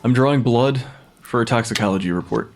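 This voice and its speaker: A man's voice: mid-range voice, fairly quick, slight background noise, close to an everyday voice. An actor playing a blunt young male pathologist in an audio drama, speaking in a matter-of-fact, clinical way. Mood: clinical, detached. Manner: clinical, matter-of-fact, dictating notes formally. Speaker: actor in fiction podcast.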